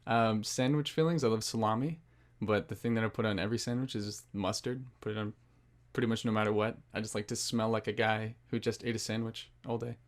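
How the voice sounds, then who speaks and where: A man's voice: on the low side, fairly even intonation, fairly quick. A man who works as an actor, introducing himself in casual chat.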